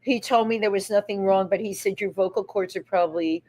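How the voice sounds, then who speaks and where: A woman hosting a live talk show from a restaurant, chatty and animated, often finishing her guest's sentences. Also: mid-range voice, brisk.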